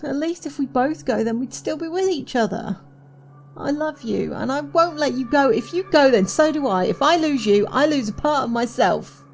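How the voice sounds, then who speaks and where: An actress playing an anxious, devoted young wife in a dystopian audio drama, pleading and earnest. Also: female voice, high-pitched, expressive, normal speed, gravelly, a little hiss or hum. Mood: anguished. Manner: pleading, earnest, old-fashioned phrasing. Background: music.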